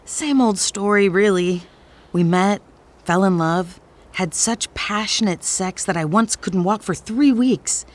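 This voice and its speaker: A woman's voice: mid-range voice, takes it slowly, close to an everyday voice. A woman playing a florid, dramatic romance novelist wounded by divorce, in an audio comedy drama. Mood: downcast, self-pitying. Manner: theatrical, florid.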